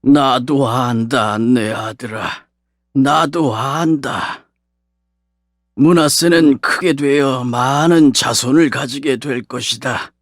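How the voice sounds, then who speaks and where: A man playing an aged, dying patriarch who speaks solemnly and deliberately to his sons in a dramatized scripture audiobook. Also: mid-range voice, steady tone, no echo, studio quality. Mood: gentle, resolute.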